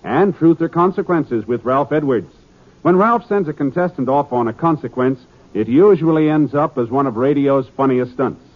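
A man's voice: medium pitch, animated, moderate pace. A man with an American accent announcing programs in a polished, scripted 1950s network radio style. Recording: muffled narrow-band 1950s radio broadcast.

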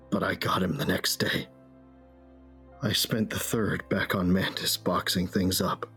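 Actor as a deep-voiced, grieving, brooding man. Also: pushed and bright. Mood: grieving, resolute. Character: grieving big man, tender, weary lover and narrator. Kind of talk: dramatic first-person audio drama narration. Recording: music under the voice.

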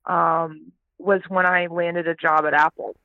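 A woman raised in Texas, born in Canada, chatting as an interview guest, talking in quick restarts and fillers. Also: on the low side, flat delivery, gravelly, dry recording. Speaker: guest, startup CEO. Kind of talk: casual interview talk. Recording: tinny phone-like line.

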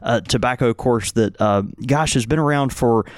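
A man's voice: medium pitch, moderate pace, no echo, clean studio sound. Wry, easygoing podcast man. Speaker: podcast co-host. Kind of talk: casual podcast chat.

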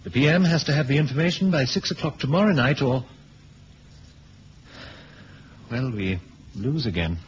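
A man's voice: mid-range voice, animated, quick pace, lightly acted. A male actor playing an exasperated British intelligence chief in a 1970s radio drama, officious and clipped, with a British accent. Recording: dull lo-fi old radio recording, hiss.

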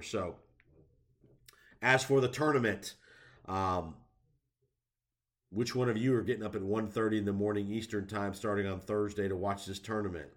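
A man with a stuffy head cold giving casual, conversational golf commentary and predictions, with lots of fillers. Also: fairly low pitch. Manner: conversational, many fillers, drawn-out emphasis.

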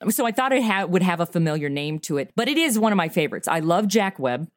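Low-pitched woman in a casual interview. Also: very animated, fast, no room sound, studio quality. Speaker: podcast creator being interviewed.

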